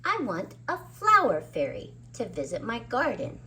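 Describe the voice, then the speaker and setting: A woman voicing an excitable, hopeful little girl in a children's storybook, with expressive, exclaiming delivery. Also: on the high side, measured pace, a little hiss or hum, full character voice. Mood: eager, wondering.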